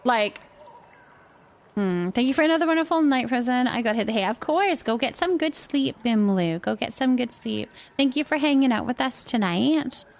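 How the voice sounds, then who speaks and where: A woman's voice: on the high side, expressive, moderate pace. A woman chatting casually as she hosts a game livestream, thinking aloud and reacting to viewers. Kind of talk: casual chat while playing a building game, reacting to viewers.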